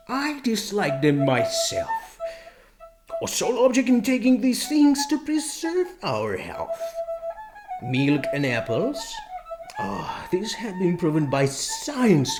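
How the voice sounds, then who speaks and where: A man's voice: high-pitched, voice pushed up, exaggerated voice. A man voicing a glib, persuasive male pig propagandist in a radio-drama reading, talking in a wheedling, almost pleading way, with music behind him.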